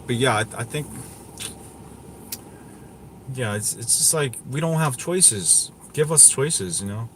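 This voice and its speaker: A man's voice: mid-range voice, lively intonation, slow pace, long pauses. Guy livestreaming, rambly casual opinions, mid voice, traffic-like noise behind him. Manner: rambling, opinionated, repeats himself. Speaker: tech livestream host. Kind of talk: casual livestream chat, answering viewers.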